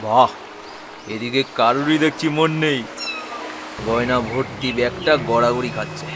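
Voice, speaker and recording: A man's voice: medium pitch, moderate pace, noisy background, natural voice. A man voicing a sly, greedy village boss in a Bengali cartoon, oily and scheming. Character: sly greedy village boss, fake-polite male crook. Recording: music behind the voice.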